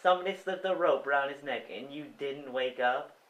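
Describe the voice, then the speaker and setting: A man's voice: mid-range voice, brisk, a bit bright, lo-fi sound, close to an everyday voice. A man acting in a stage play as a blunt, disbelieving young farmhand. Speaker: stage actor. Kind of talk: amateur stage play dialogue.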